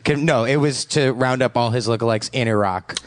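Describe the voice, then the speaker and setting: A man's voice: medium pitch, a little fast. A man hosting a comedy podcast game, bantering and joking with mock-earnest delivery.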